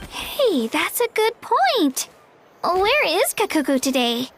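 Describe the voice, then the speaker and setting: Game role, sweet young girl adventurer: very high, lively, earnest, music behind. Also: slow, heavily acted. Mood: puzzled. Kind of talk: video game voice acting. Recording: background music under the voice.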